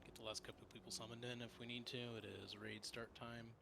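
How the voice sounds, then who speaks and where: A man leading a game raid over voice chat, brisk and businesslike. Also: mid-range voice, flat delivery, creaky. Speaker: raid leader. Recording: noisy voice chat audio.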